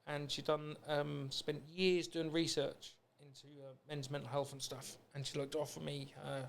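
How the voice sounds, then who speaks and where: A man's voice: on the high side, level tone, normal speed, creaky. A British man hosting a podcast in a casual, rambling, anecdotal way. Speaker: podcast host. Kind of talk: casual chat.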